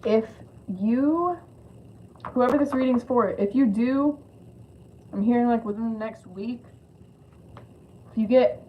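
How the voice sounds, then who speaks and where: A woman's voice: a little high, takes it slowly, long pauses. Lisping woman on a dull, noisy stream. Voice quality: lisp. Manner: informal, rambling asides with swearing. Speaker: spiritual card reader hosting a live stream. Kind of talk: casual live reading mixing read-aloud card text and chat. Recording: dull lo-fi audio with clear noise.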